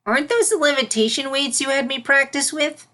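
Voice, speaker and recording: A woman's voice: sits high, fairly quick, pitched above the performer's own voice. A woman voicing an eager, whiny little unicorn filly who pleads and argues in a storybook reading. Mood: puzzled. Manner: pleading, argumentative, excitable.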